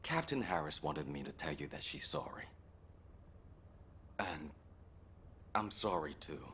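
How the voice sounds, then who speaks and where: An actor playing a loyal, anxious young soldier in a video game, speaking with earnest emotion. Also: male voice, very deep voice, animated, unhurried, a bit bright, slight background noise, full character voice. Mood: sorrowful resolve. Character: loyal, anxious young male soldier pleading with his captain.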